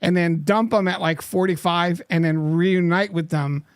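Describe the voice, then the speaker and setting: A man's voice: high voice, fairly even intonation, moderate pace, clean tone, no room sound. A man in his fifties co-hosting a casual podcast, joking and teasing his co-host in rambling banter.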